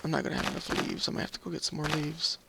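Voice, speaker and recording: A man's voice: fairly high pitch, steady tone, very fast, vocal fry. A man with a tired, slightly scratchy voice giving casual, rambling, apologetic video game commentary, thinking aloud as he plays. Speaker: host of a gaming video series. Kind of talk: casual Minecraft let's play commentary.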